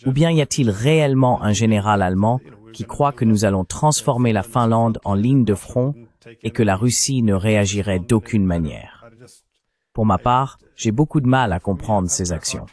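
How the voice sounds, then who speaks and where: Lowish-voiced man, articulate interview host. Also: darkish tone. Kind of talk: translated voice-over of a political discussion.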